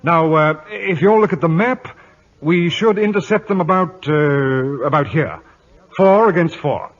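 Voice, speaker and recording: A man's voice: a little high, expressive, unhurried. An actor playing a haughty, stubborn admiral in a 1950s radio drama, pompous and condescending as he commands. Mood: brisk, confident. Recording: dull old radio broadcast recording.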